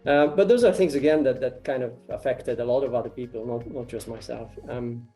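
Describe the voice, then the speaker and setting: A man's voice: mid-range voice, a little fast, mellow, faint music behind. A man from Romania, likely in his thirties, answering interview questions in a hesitant way, with many fillers and restarted phrases. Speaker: interviewee, artist and ecology researcher.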